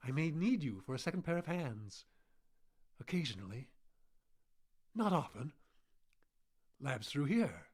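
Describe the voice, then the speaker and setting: Husky old scientist, absent-minded and testy, slow talker, pitch jumping all over. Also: male voice, sing-song, darkish tone, exaggerated voice. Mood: muttering, distracted. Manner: doddering, stammering, repeating himself. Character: eccentric old male botanist, testy, absent-minded, obsessive. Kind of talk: radio horror drama acting.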